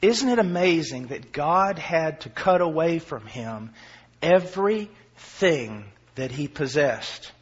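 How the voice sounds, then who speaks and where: A fervent man in his forties preaches with a husky, somewhat high voice. He drops to near murmurs and then surges into shouts. The recording sounds dull and lo-fi. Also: expressive, slow. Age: 40s. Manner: impassioned, swinging from quiet to shouting; self-deprecating humor. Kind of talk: sermon.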